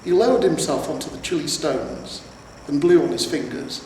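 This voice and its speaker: A man's voice: on the high side, moderate pace, a little mellow, recorded from a distance. A man reading scripted fantasy fiction aloud as a storyteller. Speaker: amateur audiobook narrator. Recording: very echoey reverberant room, faint noise.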